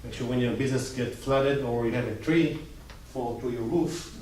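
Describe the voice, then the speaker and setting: A man's voice: medium pitch, moderate pace, darkish tone. Israeli guy doing a laid-back talk, jokey asides, roomy echo, faint background hum. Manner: informal and joking, short chatty asides. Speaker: guest speaker on IT security. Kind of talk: casual presentation to a small audience. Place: coworking space event room. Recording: echoey room, mic at a distance.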